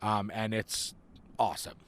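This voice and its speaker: A young man co-hosting a casual podcast, chatty and quick to laugh, recorded outdoors on a porch. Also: lowish voice, completely flat delivery, fairly bright tone. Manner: chatty, laughs often, talks over his co-host. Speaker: podcast co-host. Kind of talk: casual chat.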